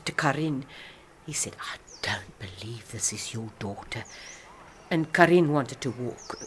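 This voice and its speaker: Rambling older South African woman, lively pitch. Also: a little hiss or hum. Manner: rambling, halting, reminiscing, defensive. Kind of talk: dramatic monologue, radio play.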